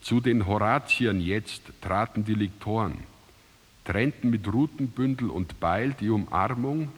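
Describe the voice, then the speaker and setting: A man narrating a literary drama as a solemn, declamatory and deliberate recitation. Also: fairly low pitch, husky. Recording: music under the voice. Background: music.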